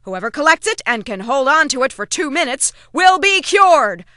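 A woman's voice: high-pitched, big pitch swings, moderate pace, sharp tone, dry recording, clean studio sound, full character voice. A woman playing a chirpy, self-important cartoon small-town mayor, announcing races in a video game with theatrical, officious pep. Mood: brisk, official. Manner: theatrical, peppy officious delivery. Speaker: race announcer.

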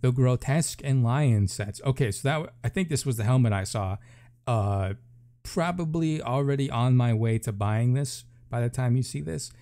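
Male gaming creator, mid-low pitched and relaxed, informal think-aloud commentary recorded in a room at home. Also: moderate pace. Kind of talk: casual live reaction commentary.

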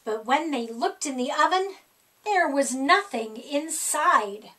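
A middle-aged woman reading a children's picture book aloud in an expressive, theatrical way with deliberate phrasing. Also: high-pitched, distant mic. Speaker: storyteller. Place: small indoor room with decorated wall.

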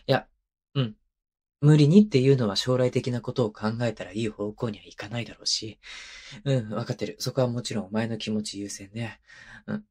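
Young husband male actor for ASMR roleplay. Soft husky breathiness, mid pitch, affectionate, needy, teasing, close-miked. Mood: gentle, earnest. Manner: murmuring, playful, coaxing. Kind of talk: intimate ASMR roleplay voice drama. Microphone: close microphone, binaural.

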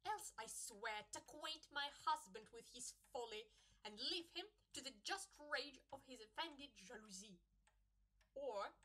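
Woman playing a bright-voiced, proud young wife. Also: sits high, full character voice. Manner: indignant, formal, theatrical. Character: proud, quick-witted young wife of jealous husband. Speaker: amateur actor. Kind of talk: early modern stage comedy acting in an audio drama.

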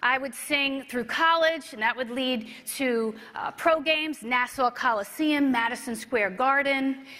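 A woman in her thirties giving a rehearsed motivational keynote story, with emphatic delivery and dramatic pauses. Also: high voice, pushed and bright. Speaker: motivational speaker. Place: convention stage, indoors. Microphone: clip-on lapel mic. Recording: echoey large hall.

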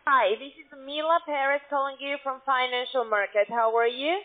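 A woman with a high voice makes a scripted sales call as an account manager. She sounds squeezed through a narrow, tinny telephone line. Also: steady tone, moderate pace.

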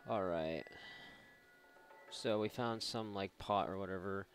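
A man's voice: deep voice, slow pace. A man giving casual, sarcastic, sweary video game commentary with mock exasperation. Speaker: video game let's-player. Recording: faint game noise behind voice.

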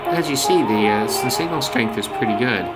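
A man's voice: mid-range voice, lively intonation, a little fast, over loud music, low-quality recording. A man giving casual, unscripted commentary as a radio hobbyist, thinking aloud with some hesitation. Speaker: shortwave radio hobbyist narrating a band scan. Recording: heavy shortwave radio static and noise. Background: radio static and broadcast chatter.

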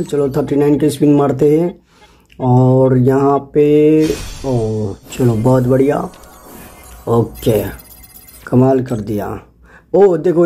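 A man's voice: on the high side, dark tone. A man with an Indian accent giving casual, chatty gameplay commentary as a video host, often addressing and repeating himself to viewers. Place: voice-over on a screen recording.

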